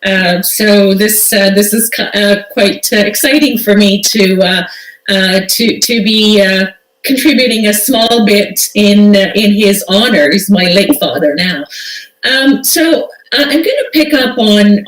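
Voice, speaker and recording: Middle-aged woman panelist, home video call, earnest with frequent fillers, mid pitch. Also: distant mic. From Tanzania. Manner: earnest, many fillers and restarts. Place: home room with bookshelves. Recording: roomy video call audio, set back from mic.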